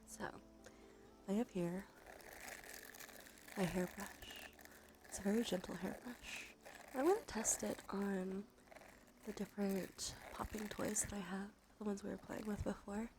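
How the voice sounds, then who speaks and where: A woman streaming ASMR, talking softly and breathily into a close microphone, gently repeating phrases to her live chat. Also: medium pitch, fairly even intonation, noisy background. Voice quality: whispery, breathy. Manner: gentle, slow repetition of phrases. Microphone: close sensitive ASMR microphone. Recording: soft music, crinkling behind. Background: music, crinkling and brushing sounds.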